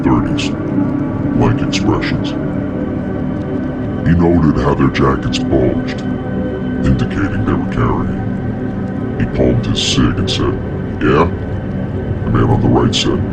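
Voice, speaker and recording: A man reading scripted horror fiction as a narrator, over dark ambient music. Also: rumbling low, creaky.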